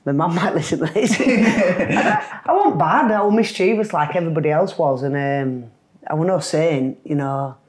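A woman's voice: sits low, lively intonation, normal speed, darkish tone. A middle-aged woman from Leeds with a Yorkshire accent, a podcast guest recalling her childhood in a chatty, laughing way. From Leeds, England. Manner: chatty storyteller, laughs often. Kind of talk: casual podcast interview.

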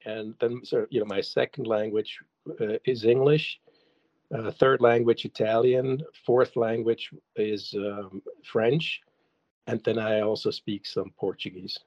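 Hesitant German-native man, low voice. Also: steady tone, measured pace, a little mellow, no room sound, dull audio. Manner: hesitant, many restarts and fillers. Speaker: songwriter and guest. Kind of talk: casual interview answers.

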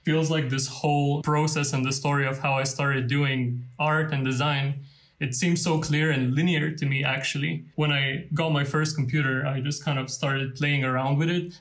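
Man, 20s, documentary interview, chatty and rambling, higher voice. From Lithuania. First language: Lithuanian. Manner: casual, rambling with many fillers and light laughs. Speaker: freelance designer interviewee.

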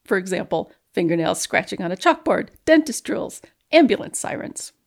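A woman's voice: mid-range voice, big pitch swings, moderate pace, creaky. A woman reading formal scientific text aloud in a precise, straight-faced manner. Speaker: guest reader, scientist. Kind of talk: dramatic reading aloud of a research paper.

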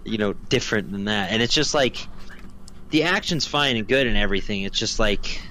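A man's voice: lowish voice, animated, a little fast, sharp tone, a little hiss or hum. A man chatting casually as a podcast co-host, informal and peppering his talk with "like". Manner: informal, hedging with frequent "like". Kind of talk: casual chat.

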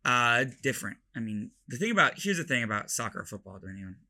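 A man's voice: mid-range voice, sing-song, quick pace, pushed and bright. A young man from London with an American accent and slight British traces, chatting casually as a college athlete and rambling through his explanations. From London, England. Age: young adult. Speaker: college football player, interview guest. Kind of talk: casual chat.

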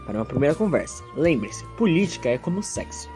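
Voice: medium pitch, big pitch swings, fast talker, darkish tone, soft background music. A narrator telling a scripted story in a theatrical, self-mocking way with playful asides.

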